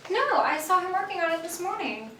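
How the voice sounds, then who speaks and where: A woman's voice: very high-pitched, very animated, brisk. A woman playing a chatty, guileless, supportive coworker in a live stage play. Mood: eager, sincere. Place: indoor theater stage, picked up from afar. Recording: echoey stage, distant mic, noisy.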